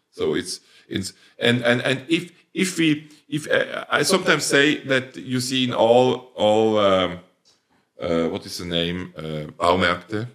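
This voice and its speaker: Very low-voiced Swiss man, hesitant. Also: fairly bright tone. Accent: Swiss German. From Switzerland. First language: Swiss German. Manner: hesitant, searching for words, many fillers and restarts. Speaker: plant producer, company owner. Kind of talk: interview answers.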